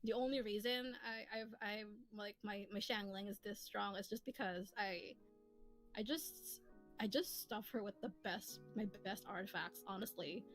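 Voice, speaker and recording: A woman's voice: medium pitch, moderate pace, rough recording. A woman hosting a casual stream, chatting and giggling with lots of fillers. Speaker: streamer and host. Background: faint lofi music.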